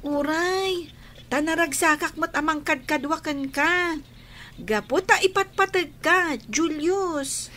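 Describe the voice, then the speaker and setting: A woman's voice: high-pitched, slow, pushed and bright, a little hiss or hum, heavily acted. A woman with an Ilocano accent playing a caring, devoted young sister in a radio drama. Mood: tender, reassuring.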